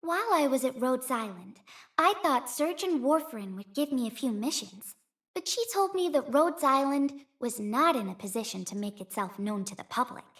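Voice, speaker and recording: A young woman voicing a gentle, earnest flying messenger in a video game, with a soft and sincere delivery. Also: sits high, expressive, moderate pace. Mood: calm, earnest. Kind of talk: video game character voice lines.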